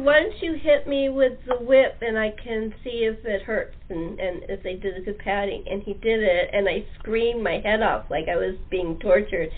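American female host, chatty and hesitant, mid-range pitch, heard over a thin phone connection. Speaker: talk show host. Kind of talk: casual chat interview. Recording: tinny phone-line audio.

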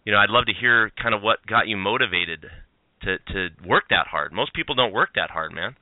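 A man's voice: on the low side, lively intonation, fairly quick, no room sound. A man hosting an interview podcast, talking enthusiastically and rambling through his questions with lots of restarts. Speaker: podcast host. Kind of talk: casual interview chat. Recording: dry, tinny phone-like line.

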